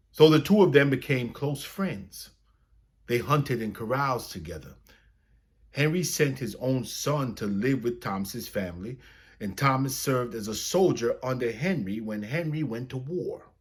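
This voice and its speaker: A man reading a children's story aloud as a storyteller, with expressive, dramatic phrasing. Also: medium pitch, breathy edge.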